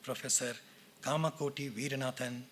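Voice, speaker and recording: A man with an Indian English accent reads a formal convocation speech at a measured pace, listing names and titles one by one. His voice is bright and clear at the podium mic. A faint hum of the lecture theatre sits behind him. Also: medium pitch, fairly even intonation. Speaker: department head speaking at a convocation.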